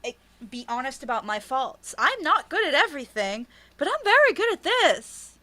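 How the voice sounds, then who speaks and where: A woman with a slightly high, bright and forceful voice chats candidly in an interview. She is blunt and emphatic, and she swears freely as she talks. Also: very animated, normal speed. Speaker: interviewed writer and podcast creator. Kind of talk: candid casual interview chat.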